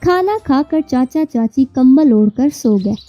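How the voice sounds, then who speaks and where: A woman's voice: high-pitched, animated, unhurried, mellow, muffled recording. A woman narrating a scripted children's story, with music and birdsong behind her.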